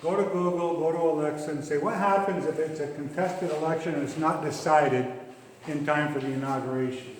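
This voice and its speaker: A man's voice: fairly high pitch, fairly even intonation, fairly quick, a little hiss or hum. A man giving an emphatic, insistent political talk at a club meeting, often repeating his points. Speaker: campaign speaker. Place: meeting room. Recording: echoey hall from far off.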